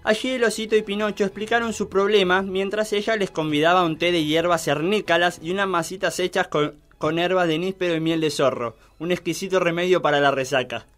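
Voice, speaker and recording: A man's voice: high-pitched, steady tone, brisk, hardly any pauses, a bit bright, soft background music. A man narrating a scripted mock children's tale as a storyteller.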